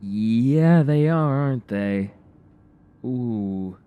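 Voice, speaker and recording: A man co-hosting a radio show with casual, reactive banter, rambling and haltingly repeating his phrases. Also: medium pitch, animated, smooth, dry recording, phone-quality sound.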